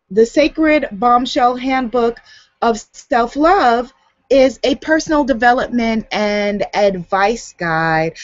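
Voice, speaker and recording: A woman's voice: a little high, dry recording. A woman author, born in the US, with a light Guyanese accent, talking casually to camera on a video hangout and laughing often. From United States. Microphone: webcam on a video hangout. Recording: dull, dry home recording.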